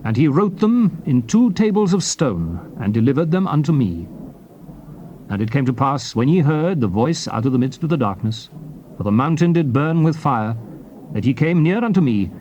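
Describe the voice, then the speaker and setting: A man giving a solemn, dramatized reading of King James scripture, declaiming it in deliberate phrases. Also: medium pitch, big pitch swings, darkish tone, lo-fi sound. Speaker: scripture reader. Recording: noisy old cassette tape recording.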